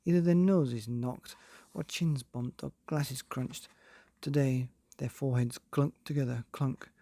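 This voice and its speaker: A man narrating a bedtime storybook from a script, measured, with deliberate pauses between phrases. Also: mid-range voice, steady tone, dark tone, no room sound. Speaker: narrator of a bedtime stories podcast.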